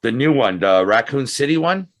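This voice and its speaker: A man's voice: medium pitch, expressive, normal speed. A man hosting a casual movie chat, agreeable and often echoing what he hears. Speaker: podcast host.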